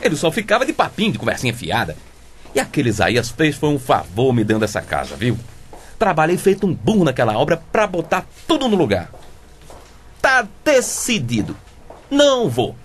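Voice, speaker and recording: An actor playing a stubborn, cowardly, dim Brazilian bricklayer husband in a comic radio soap, obstinately repeating himself. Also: male voice, a little high, huge pitch swings, normal speed, a little hiss or hum. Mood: stubborn, self-justifying.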